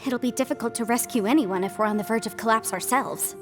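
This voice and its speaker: A woman playing an analytical, polite young investigator in a video game, measured, articulate and thoughtful. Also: on the high side, over music, natural voice. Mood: composed, concerned.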